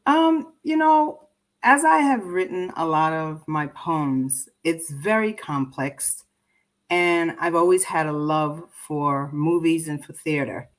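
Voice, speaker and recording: A woman's voice: low voice, expressive, slow. A woman from Trinidad, answering interview questions in an earnest, reflective, conversational way. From Trinidad and Tobago. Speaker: interview guest, poet and filmmaker.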